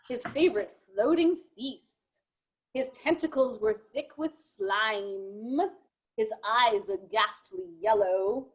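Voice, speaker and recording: Woman doing storytime for kids, high voice, super sing-songy, takes her time with the rhymes. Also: husky, rough recording. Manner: expressive, measured rhyming delivery. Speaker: storytime reader. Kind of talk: animated children's picture-book reading with asides.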